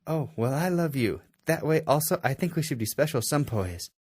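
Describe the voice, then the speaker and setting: A man's voice: on the high side, expressive, moderate pace, darkish tone, husky, dry recording, clean studio sound, voice pushed up. A man voicing a smitten, gushing young female pony in a storybook romance. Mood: lovestruck.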